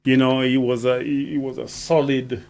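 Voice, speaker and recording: A man talking as a poet guest on radio, deliberate and emphatic, often checking he is understood. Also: medium pitch, flat delivery, gravelly, darkish tone. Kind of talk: conversational radio interview.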